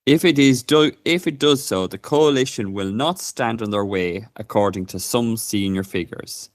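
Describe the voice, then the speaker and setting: A man with a lowish voice talks hesitantly on a casual live stream, full of fillers and restarts. He reads a news report aloud. The sound is dry and close. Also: normal speed, dry recording. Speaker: co-host.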